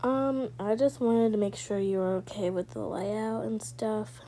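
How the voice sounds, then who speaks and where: A woman's voice: mid-range voice, steady tone, unhurried, mellow, slight background noise, close to an everyday voice. A woman voicing a sweet, helpful man in casual role-play, speaking in a hesitant, accommodating way.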